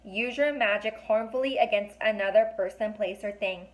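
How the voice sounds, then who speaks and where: A woman's voice: medium pitch, normal speed, natural voice, poor audio. A woman acts out a fairy council member in a children's tale. The delivery is formal and severe, that of someone stern who expects to be obeyed. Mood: grave.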